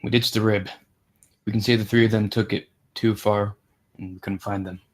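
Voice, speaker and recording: A man's voice: on the low side, level tone, moderate pace, lightly acted. An actor at a table read playing a grimly practical man covering up deaths at sea. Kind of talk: screenplay table read acting.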